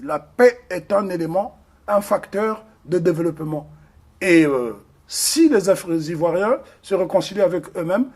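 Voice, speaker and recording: A man's voice: high voice, darkish tone, husky. A man from the Republic of the Congo, speaking as an interview guest in an earnest, emphatic way with frequent hesitations. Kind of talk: interview discussion.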